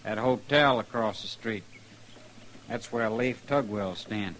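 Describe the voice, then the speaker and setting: Bitter Old West gunman, speech, fairly low, clearly noisy vintage radio recording. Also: takes it slowly, a bit bright. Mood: menacing. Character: vengeful, bitter Texan out to kill. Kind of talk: 1950s radio western acting.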